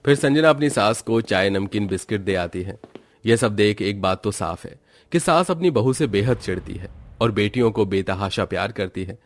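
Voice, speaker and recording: A man's voice: on the low side, moderate pace, no echo. A man narrating a scripted cartoon moral story as its storyteller.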